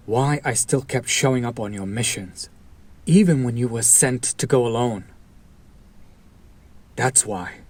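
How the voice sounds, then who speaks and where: Casting: male actor for a bitter hero-turned-villain in an audio drama, mid-range voice, slow deliberate delivery, sardonic and protective. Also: mid-range voice, a little mellow, natural voice. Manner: deliberate, sardonic, theatrical, with long pauses. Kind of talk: dramatic audio roleplay monologue addressed to listener.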